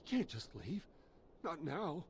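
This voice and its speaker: Anxious, passionate researcher: high, fretful, earnest game voice over faint ambience. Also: male voice, very animated, measured pace, a little mellow, a little hiss or hum. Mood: worried. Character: anxious, passionate male agricultural scientist. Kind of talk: cinematic video game acting.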